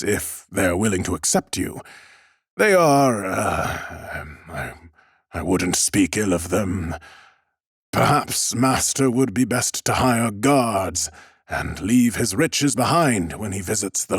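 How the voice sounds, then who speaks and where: A man's voice: lowish voice, expressive, slow, husky, no echo, clean studio sound, heavily acted. A man voicing a timid, humble bookseller in an audiobook, speaking with hesitant, very polite deference. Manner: hesitant, bowing politeness, stammering. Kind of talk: audiobook character acting.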